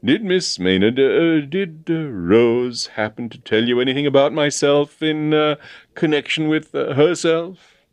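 A bluff, sentimental old colonel, acted out by a male storybook reader. He speaks in halting, repetitive, old-fashioned courtly phrases, blustering one way and wistfully noble the next. The recording is dry and close. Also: fairly low pitch, dry recording, close to an everyday voice. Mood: diffident, blustering. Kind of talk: dramatised storybook reading.

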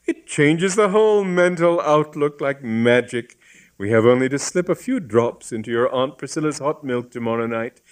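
A man's voice: mid-range voice, smooth, pitched above the performer's own voice, lightly acted. A man voicing an earnest, fussy young man with an emphatic, wordy manner in a comic story.

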